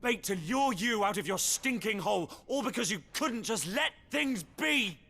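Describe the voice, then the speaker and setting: A man's voice: high voice, lively intonation, normal speed, gravelly, sharp tone, heavily acted. An actor playing a bitter, aggrieved man in a video game, speaking in an accusing manner. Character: bitter, aggrieved man blaming his would-be saviours. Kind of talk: dramatic video game acting.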